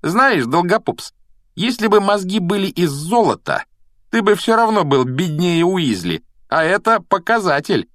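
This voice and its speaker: A man's voice: high-pitched, big pitch swings, measured pace, a bit bright, no room sound, clean studio sound, voice pushed up, full character voice. A man voicing a spoiled, mocking schoolboy bully who drawls his taunts in a storybook reading. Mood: sneering.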